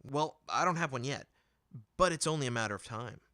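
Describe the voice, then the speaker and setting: A man's voice: mid-range voice, expressive, fairly quick, pushed and bright, dry recording, studio quality. A man voicing an awkward, eager teenage boy bard who tries to charm, in a storybook reading. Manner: stammering, trying to charm.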